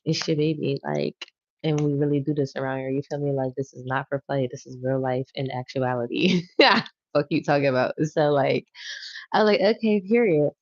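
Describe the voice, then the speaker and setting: A woman's voice: very deep voice, steady tone, normal speed, no room sound, muffled recording. A woman hosting a spiritual tarot livestream, chatting casually and slangily straight to her viewers. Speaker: tarot reader and livestream host.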